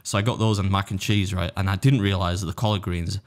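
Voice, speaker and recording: English male podcast host, quick and jokey, slightly low register. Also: fairly even intonation. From England. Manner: rambling, jokey, quick to laugh at himself. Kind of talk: casual podcast chat. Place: podcast studio.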